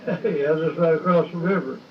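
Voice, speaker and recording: A man's voice: fairly high pitch, moderate pace, slight background noise. A man on a video call giving short, friendly answers. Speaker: caller on a video chat. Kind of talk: casual chat. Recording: distant, dull call audio.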